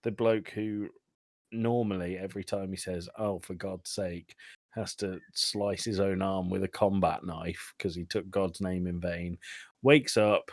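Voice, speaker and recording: A man with a fairly low voice, recorded close and dry. He talks through a film as a podcast host, casually and informally, with dry jokes and some swearing. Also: fairly even intonation, unhurried, dry recording. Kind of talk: casual film recap among friends.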